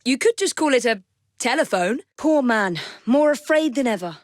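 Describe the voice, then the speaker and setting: A poised young Englishwoman of Victorian London, played by a game actress, speaks as a determined assassin. Her voice sits a little high, with crisp, composed phrasing and polite authority. The recording is very dry, in clean video game style. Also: animated, normal speed, no echo, close to an everyday voice. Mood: composed, wry. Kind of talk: scripted game voice acting.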